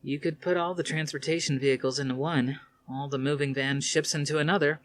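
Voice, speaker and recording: A man reading aloud, voicing a sharp-tongued young woman officer who is the commander's daughter and keeps prodding her father with questions. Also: low-pitched, fairly even intonation, a little fast, roomy sound, voice pushed up, natural voice. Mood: needling. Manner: prodding, challenging.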